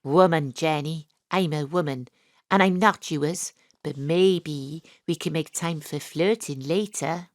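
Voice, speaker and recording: A woman playing a brisk, tongue-in-cheek private detective with a Welsh accent, acting and narrating in a comic audio drama. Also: low-pitched, no echo, clean studio sound. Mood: brisk, flirty.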